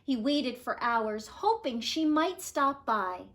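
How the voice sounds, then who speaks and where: A woman narrating a children's storybook aloud in an expressive, measured way with dramatic emphasis. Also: on the high side. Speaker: storybook reader.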